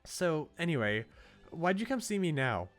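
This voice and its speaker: A man's voice: a little high, very animated, moderate pace, pitched above the performer's own voice. Man putting on a wistful, lighter-voiced young woman. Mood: curious, friendly. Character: wistful, gentle young woman who paints alone. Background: game music.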